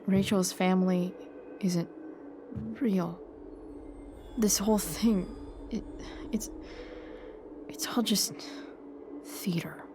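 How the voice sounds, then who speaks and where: An actress playing a guarded, sardonic teenage girl in a naturalistic video game drama, speaking in a dry, halting way. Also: medium pitch. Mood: upset, pleading.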